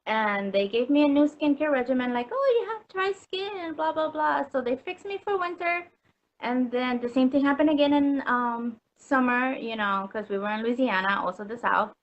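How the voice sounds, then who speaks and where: A woman's voice: sits high, normal speed. Chatty puerto rican-born woman, lots of ums and likes, dull lo-fi call sound. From Puerto Rico. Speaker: customer guest. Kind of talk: casual interview chat.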